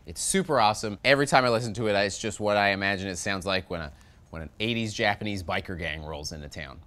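A man in his thirties hosting a metal review show, delivering a jokey scripted review from a studio set. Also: fast talker. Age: 30s. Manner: sarcastic, jokey, wide swings in pitch. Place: studio set with shelves of records.

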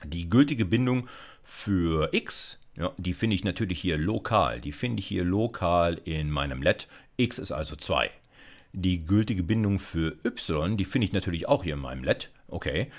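A man's voice: deep voice, animated, moderate pace. A man in his fifties giving an informal lecture with a live demo, talking colloquially and directly to his students with frequent check-in tags. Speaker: university lecturer.

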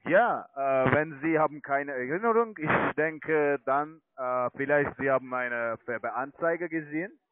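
A man's voice: a little high, a little slow, hardly any pauses, slight background noise. A man working as a telephone sales agent, speaking hesitantly in broken phrasing as a non-native speaker. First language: not German. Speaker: telemarketing caller, online trading account manager. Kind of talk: phone sales pitch. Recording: tinny narrowband phone line.

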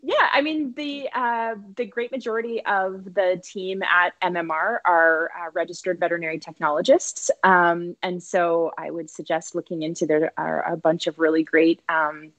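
A woman's voice: on the low side, steady tone, normal speed, few pauses, a bit bright, no echo, poor audio. A woman in her thirties chatting and explaining casually as a staff panelist on a video call. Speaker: rescue centre staff panelist. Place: indoor room, video call.

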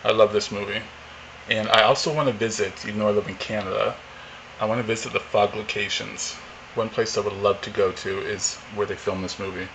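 Forties male vlogger, low monotone, loose rambling delivery, home camera recording with faint hiss. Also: fairly quick, vocal fry, rough recording. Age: 40s. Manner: rambling, many fillers and restarts. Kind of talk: casual unboxing chat. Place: home living room, indoors.

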